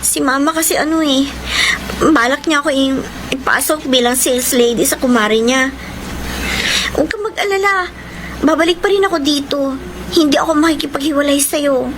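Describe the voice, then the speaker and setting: A woman's voice: high voice, moderate pace, pauses often, background noise. A woman with a Filipino accent acting a battered, secretive young girlfriend in a radio drama, plaintive and hesitant. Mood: timid.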